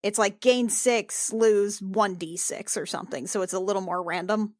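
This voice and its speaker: A woman's voice: medium pitch, fairly even intonation, moderate pace. A woman playing a tabletop game, talking casually and reading material aloud.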